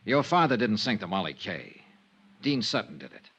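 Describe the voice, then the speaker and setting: An actor playing a tough, wry insurance investigator in a 1950s radio drama, with an American accent and terse, clipped dramatic delivery. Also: male voice, fairly low pitch, big pitch swings, moderate pace, a bit bright, husky, exaggerated voice. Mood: grave, businesslike. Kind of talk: 1950s radio detective acting, first-person narration and dialogue. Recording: muffled, noisy old radio recording.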